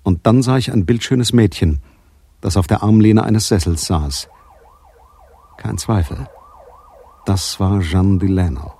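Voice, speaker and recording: An actor playing a shrewd, cool-headed private detective in a radio crime play, husky and matter-of-fact. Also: male voice, sits low, measured pace, long pauses, dark tone, no room sound. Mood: terse narration. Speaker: lead detective and narrator. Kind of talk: 1980s German radio play acting and first-person narration.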